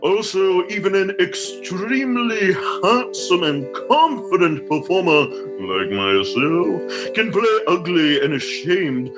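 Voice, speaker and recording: A man playing a vain, pompous gentleman storyteller in a comedy radio play, with a grandiose, florid delivery. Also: high voice, big pitch swings, slow pace, over music, heavily acted, low-quality recording. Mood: smug, grandiose.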